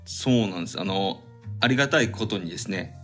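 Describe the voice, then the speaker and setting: A man's voice: deep voice, lively intonation, brisk, a bit bright, faint music behind. A man co-hosting a casual podcast, playful and mock-formal. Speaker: podcast co-host.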